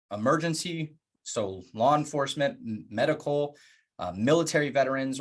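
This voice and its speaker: A man presenting plans in a public address, speaking earnestly in deliberate phrases with emphatic pauses. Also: medium pitch. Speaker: organization leader presenting plans.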